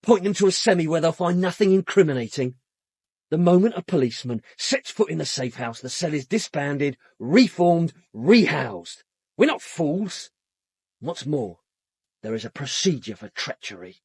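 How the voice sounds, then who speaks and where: An actor playing a domineering, secretive young British radical in a radio drama, curt, contemptuous and emphatic. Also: male voice, fairly high pitch, sing-song, moderate pace, darkish tone, breathy edge, no room sound, full character voice. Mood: menacing, defensive.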